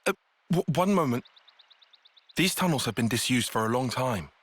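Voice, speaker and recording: A man acting a cautious, brooding young soldier in a video game cutscene, soft-spoken, pensive and measured. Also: mid-range voice, expressive, close to an everyday voice. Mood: anxious, cautioning. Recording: music behind the voice.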